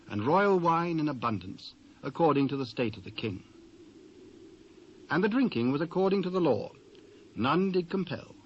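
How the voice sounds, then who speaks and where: A British man narrating scripture in a measured, solemn storytelling manner. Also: mid-range voice, a little hiss or hum. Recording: dull old cassette transfer.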